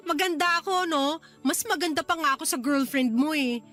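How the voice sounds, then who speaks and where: A woman's voice: high-pitched, measured pace, bright, forceful tone, faint music behind. A woman with a Filipino accent playing a sweet, playful younger sister in a radio drama. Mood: playful, excited.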